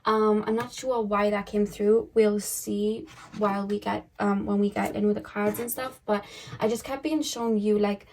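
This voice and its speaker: Hoarse woman reading tarot. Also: medium pitch, little pitch movement, normal speed, darkish tone, slight background noise. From United Kingdom. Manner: chatty and rambling, with frequent "um" and "like". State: hoarse, croaky voice. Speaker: tarot card reader. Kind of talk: casual tarot reading vlog.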